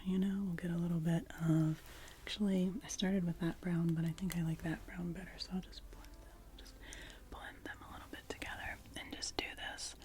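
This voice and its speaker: Woman, ASMR whisperer playing a face painter; low mellow whisper, gentle rambling chat. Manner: soft, close whispering; gentle, meandering, chatty. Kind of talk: ASMR roleplay.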